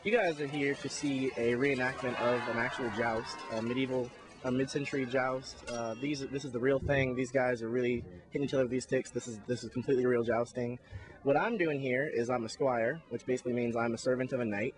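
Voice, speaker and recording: A man giving an informal, earnest, halting explanation as a festival squire, recorded outdoors. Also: mid-range voice, steady tone. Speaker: jousting squire and backstage crew member at a Renaissance festival. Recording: noisy outdoor location recording.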